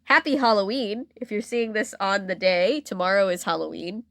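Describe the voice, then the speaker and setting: Woman, podcast co-host, mid-pitched, wry, playful, casual chat, dry close recording. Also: normal speed, no room sound.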